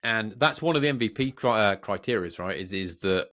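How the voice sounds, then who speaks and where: A man's voice: on the low side, expressive, brisk, fairly bright tone. A British man co-hosting a podcast in casual, unscripted tech chat, rambling, jokey and easily sidetracked. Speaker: podcast co-host.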